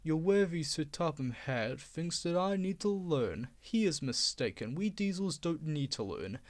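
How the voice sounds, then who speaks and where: A reader voicing a boastful, spiteful diesel engine in an oily, smooth, slow voice. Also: medium pitch, expressive, darkish tone, natural voice. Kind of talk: storybook reading.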